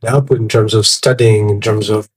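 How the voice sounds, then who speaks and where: A man's voice: on the low side, steady tone, normal speed, breathy edge. A man from Tanzania hosting an interview, curious and conversational, drawing on his own experience. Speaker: host.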